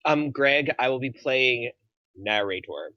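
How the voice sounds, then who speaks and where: A man's voice: medium pitch, animated, slow pace, no echo. A man chatting casually as a participant in an online table read, over a dull voice chat.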